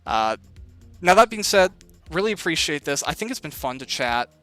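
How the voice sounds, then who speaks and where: A man's voice: fairly high pitch, very animated, moderate pace, fairly bright tone, soft background music. A man hosting a casual interview, talking in an encouraging and reflective way. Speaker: interview host and author.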